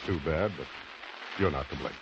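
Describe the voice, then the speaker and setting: An actor playing a steady, grave police lieutenant in 1940s radio drama, measured and sober. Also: male voice, very deep voice, creaky. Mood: sympathetic. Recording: muffled old radio recording, noisy.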